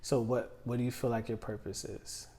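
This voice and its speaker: A man's voice: mid-range voice, level tone, moderate pace, mellow, slight background noise. A man hosting a casual interview show, easygoing and rambling with frequent laughs. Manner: easygoing, rambling, many fillers and restarts, laughs. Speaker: podcast host.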